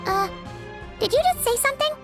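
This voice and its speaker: A woman voicing an excitable, chatty little fairy companion in a game who refers to herself in the third person. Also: very high voice, brisk. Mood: startled. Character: tiny floating female fairy companion, chirpy. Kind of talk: game dialogue. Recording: game audio with music behind.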